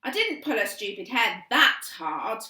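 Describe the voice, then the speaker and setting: A woman's voice: a little high, sing-song, a little slow, sharp tone, pitched above the performer's own voice, full character voice. A woman reading aloud, voicing a nervous older sister who protests and pleads. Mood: defensive. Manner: pleading, urgent. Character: anxious older sister, defensive and hushed. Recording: roomy sound from a distance.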